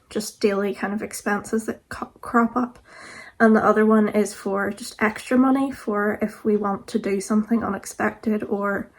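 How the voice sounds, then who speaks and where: A woman in her thirties, a bit croaky from being sick, chatting casually to camera as a vlogger with lots of "like" fillers. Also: medium pitch. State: croaky, recovering from illness.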